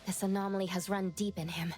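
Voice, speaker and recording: A woman's voice: mid-range voice, little pitch movement, quick pace, darkish tone, faint music behind, close to an everyday voice. A woman playing an earnest, perceptive young investigator in a game cutscene, explaining what she senses intently. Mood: intent, concerned. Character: earnest, perceptive young female anomaly sensor. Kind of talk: game cutscene dialogue acting.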